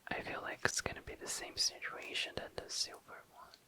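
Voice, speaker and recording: An ASMR host whispering in a breathy, unvoiced voice, musing casually and tentatively, close to the mic. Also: fairly quick. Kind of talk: whispered ASMR, casual makeup swatching narration.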